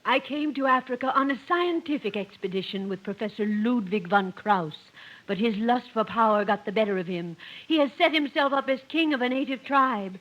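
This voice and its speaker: A high-pitched damsel in distress played by a woman, earnest and melodramatic as she pleads her case. She sounds like a jungle-adventure heroine in a 1950s radio comedy, on a dull old recording. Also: expressive, moderate pace, close to an everyday voice.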